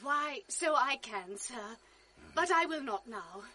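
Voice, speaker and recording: An actress playing a gentle young noble wife in a radio drama, speaking earnestly and pleadingly. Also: female voice, on the high side, animated, takes it slowly, slight background noise, heavily acted. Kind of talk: 1980s radio drama acting.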